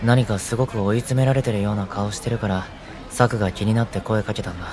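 An actor playing a kind twenty-year-old delinquent in an audio drama, a gentle, reassuring peacemaker. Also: male voice, on the low side, fairly even intonation, fast, noisy background, natural voice. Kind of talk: Japanese audio drama acting.

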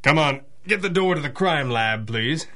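An actor playing a dry, mysterious masked crimefighter boss, speaking in measured deadpan in a 1930s-style radio adventure. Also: male voice, fairly high pitch. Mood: dry, businesslike.

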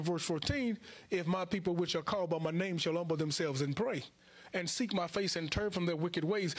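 Casting: American male preacher, raised-pitch husky voice, colloquial and emphatic sermon delivery on a handheld mic. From Chicago and Houston, United States. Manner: emphatic, colloquial, repeats phrases for effect. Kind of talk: sermon to a congregation. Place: indoor church stage at a lectern. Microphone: handheld microphone.

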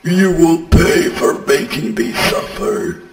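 A man plays a vengeful haunted animatronic creature from a horror game, bitter and menacing. The pitch sits high for a male voice, and every phrase is drawn out extremely slowly. The voice rings from a distance in a long, echoing reverb. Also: lively intonation, vocal fry, exaggerated voice. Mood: cold, accusing. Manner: slow, menacing, drawn-out delivery. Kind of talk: horror game character voice lines. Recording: echoey long reverb, distant, music behind.

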